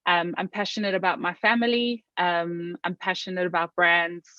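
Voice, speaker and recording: A South African woman talking as an interview guest, earnest and reflective, often pausing with "um" and restarting her sentences. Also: fairly low pitch, little pitch movement, sharp tone, dry recording, dull audio. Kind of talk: interview conversation.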